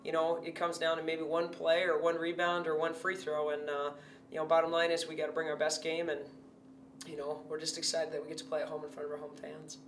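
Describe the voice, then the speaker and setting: A woman in her forties, a head basketball coach, giving a steady, measured post-game interview with many fillers. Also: low voice. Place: indoor press backdrop. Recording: roomy, distant, faint hum.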